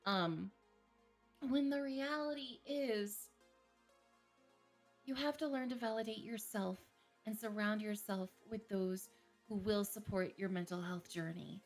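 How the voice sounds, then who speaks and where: A woman therapist talks slowly and deliberately, laying out her advice step by step in a reassuring tone. Her pitch sits in a middle range, and faint music plays softly behind her. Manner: slow, measured, reassuring explanations. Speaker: therapist guest. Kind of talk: calm advice-giving conversation.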